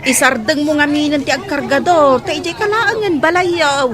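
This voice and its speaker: A woman's voice: sits high, normal speed, a crowd in the background, lightly acted. A woman playing a caring but nagging middle-aged auntie in a radio drama, exclaiming, with an Ilocano accent. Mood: nagging, concerned.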